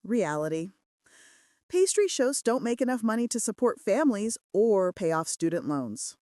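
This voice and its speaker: A woman's voice: medium pitch, sing-song, normal speed, darkish tone, no room sound, close to an everyday voice. A woman voicing a practical, affectionate female assistant with dry wit in a romance audiobook. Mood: candid, wistful. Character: practical, wry, loving female assistant to mafia boss.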